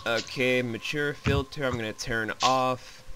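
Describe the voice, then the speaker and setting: A man's voice: medium pitch, fairly even intonation, measured pace, noisy background. A man hosting a let's play, giving a casual, wry, rambling and sweary recap of a visual novel.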